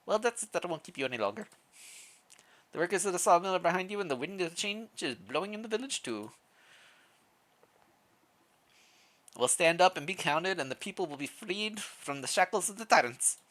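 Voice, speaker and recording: A streamer putting on an excitable, fervent village sawmill comrade. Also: male voice, sits high, quick pace, voice pushed up. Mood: fervent, friendly. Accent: uncertain foreign-sounding put-on accent. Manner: impassioned, rhetorical. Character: earnest, rousing male sawmill worker, fervent village comrade.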